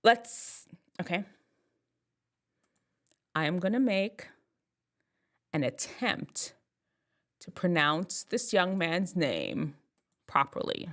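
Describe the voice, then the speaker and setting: A woman from Jamaica chatting casually to camera, telling stories and laughing at herself, with big upward swoops when she gets excited. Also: lowish voice, extremely slow, long pauses. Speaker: vlogger.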